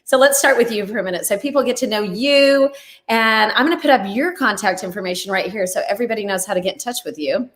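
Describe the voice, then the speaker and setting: A woman hosting an interview over a video call, chatty and lively, laughing easily. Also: mid-range voice, quick pace, reverberant. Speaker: host. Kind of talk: casual interview chat.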